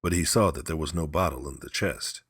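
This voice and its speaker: A man narrating a scripted story as an audiobook reader, in a measured, deliberate manner. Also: extremely low pitch, a bit bright, breathy edge, dry recording, studio quality.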